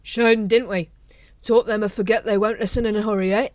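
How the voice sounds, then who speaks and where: A woman's voice: medium pitch, steady tone, a little fast, dry recording, voice pushed up, close to an everyday voice. A woman voicing a drunken male night-watch captain who rambles and repeats himself. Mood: drunk gloating.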